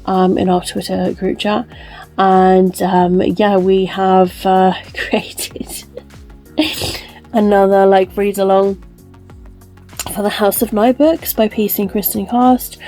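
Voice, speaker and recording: Rambling woman vlogger, gravelly. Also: medium pitch, level tone, slow, warm tone, faint music behind. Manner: rambling, many ums and likes. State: wheezy, recovering from illness. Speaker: book vlogger. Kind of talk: casual vlog chat.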